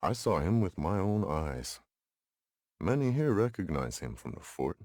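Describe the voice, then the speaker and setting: An actor playing a wise, resolute older Lakota uncle in a video game, speaking gravely and deliberately. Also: male voice, sits low, big pitch swings, a little mellow, no echo, studio quality, heavily acted. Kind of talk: scripted game cutscene acting.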